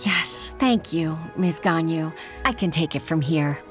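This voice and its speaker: Earnest, lower-voiced deferential official. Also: female voice, measured pace. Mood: impressed, respectful. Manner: respectful, formal, hesitant. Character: earnest, humble, deferential adult female official, a mother. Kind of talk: anime video game dub acting. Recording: music behind.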